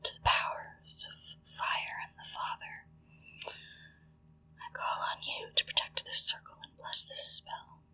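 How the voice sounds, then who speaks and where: A woman whispering gently and deliberately into a close microphone, leading a ritual in ASMR style with hushed pauses. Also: poor audio. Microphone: close-up sensitive microphone.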